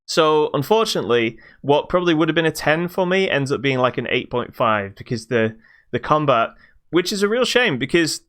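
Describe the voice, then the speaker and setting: A man co-hosting a podcast, reviewing games in casual chat with halting explanations that trail into dismissive asides. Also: fairly high pitch, lively intonation, fairly bright tone. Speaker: podcast co-host.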